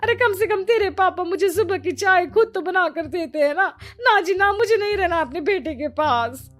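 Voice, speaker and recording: A woman's voice: very high-pitched, normal speed, faint music behind, voice pushed up. A woman voicing a lazy older mother-in-law in a cartoon family story, whiny and full of excuses. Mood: whiny, evasive. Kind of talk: Hindi cartoon story acting.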